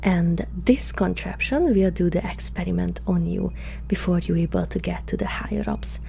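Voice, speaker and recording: A woman playing a curious, flirtatious alien experimenter in an intimate ASMR roleplay, speaking haltingly in a soft, breathy murmur that often slips into whispers. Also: on the low side, fairly even intonation, a little hiss or hum. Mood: soothing, coaxing. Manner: hesitant, halting, softly spoken, often slipping into whispers.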